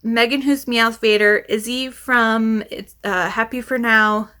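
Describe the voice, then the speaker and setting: A woman's voice: on the high side, fairly even intonation, slow, pushed and bright, smooth. A woman talking as a book vlogger in a casual, chatty way, meandering and interrupting herself as she goes. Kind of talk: casual chat to camera, rambling.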